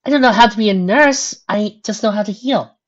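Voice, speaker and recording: A woman's voice: mid-range voice, fairly quick, pitched above the performer's own voice, lightly acted. A woman voicing a shy, polite, hesitant young female pony in a story reading. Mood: flustered. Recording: dull lo-fi recording.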